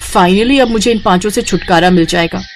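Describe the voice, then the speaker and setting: A woman voicing a long-suffering, overworked young daughter-in-law in an animated family story. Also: medium pitch, a little fast, soft background music, close to an everyday voice. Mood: grumbling. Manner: polite aloud, grumbling to herself.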